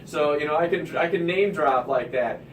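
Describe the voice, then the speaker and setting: A man's voice: fairly high pitch, moderate pace, roomy sound, distant mic, a little hiss or hum. A man from Kansas City hosting a talk show and interviewing seniors, chatty and rambling with frequent restarts. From Midwest, Kansas City. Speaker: radio show host, mortgage broker. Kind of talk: casual interview chat.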